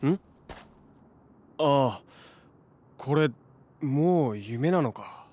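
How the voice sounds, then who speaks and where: An actor playing a brash, warm-hearted young man with casual, slangy speech in a Japanese audio drama. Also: medium pitch, lively intonation, very slow. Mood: puzzled, curious.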